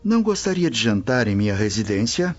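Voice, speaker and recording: Husky, genial old Roman official. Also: male voice, medium pitch, big pitch swings, a little fast, dull audio, soft background music. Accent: Brazilian. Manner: genial, courteous, hesitant interjections.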